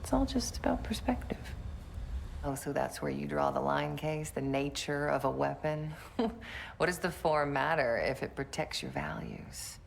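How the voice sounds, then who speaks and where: A woman's voice: sits low, lively intonation, unhurried, vocal fry, a little hiss or hum, exaggerated voice. A woman playing a calculating, self-righteous, mocking villain delivering a monologue in a video game. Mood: taunting, persuasive.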